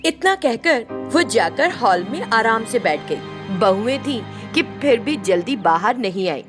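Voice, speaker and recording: A woman's voice: fairly high pitch, big pitch swings, unhurried, over music. A woman narrating a scripted moral story as a storyteller.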